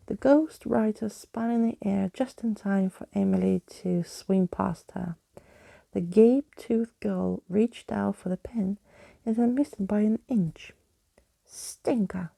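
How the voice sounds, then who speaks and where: A woman reading a storybook as soft ASMR narration, hushed, breathy and often whispering, close to the microphone. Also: mid-range voice, dry recording. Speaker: ASMR reader.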